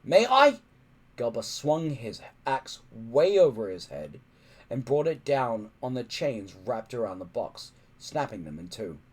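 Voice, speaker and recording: A man's voice: medium pitch, expressive, a little slow, mellow, slightly rough. A man reading a children's story aloud in a dramatic, emphatic narrating style. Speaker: audiobook-style story reader.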